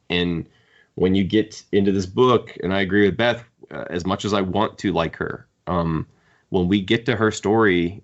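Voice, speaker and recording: A man co-hosting a podcast, discussing books casually in an opinionated, wry way. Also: sits low. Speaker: podcast co-host. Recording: dull, band-limited audio.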